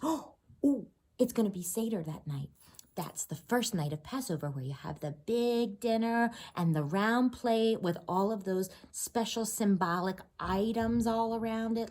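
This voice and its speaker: A woman reading a children's holiday story aloud, expressive and addressing her young listeners with questions. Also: on the high side.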